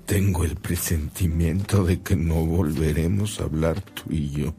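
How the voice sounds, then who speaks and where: An actor playing an ailing, devout old Mexican bishop in a radio drama, solemn and deliberate, with a Mexican accent. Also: male voice, rumbling low, steady tone, mellow. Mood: weary, urgent.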